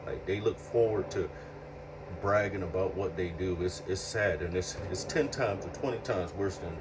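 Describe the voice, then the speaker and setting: A man in his late thirties with a very low voice talks earnestly in a reflective monologue, often repeating himself as he works through a thought. He sounds set back from the microphone, and a steady hum of air conditioning and passing vehicles sits clearly behind him. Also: normal speed, recorded from a distance. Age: 30s. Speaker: speaker sharing life lessons.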